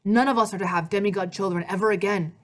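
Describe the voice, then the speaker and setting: A woman's voice: medium pitch, steady tone, quick pace, natural voice. A woman storyteller voicing a brooding, agitated male god of the underworld who pleads urgently. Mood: urgent, pleading.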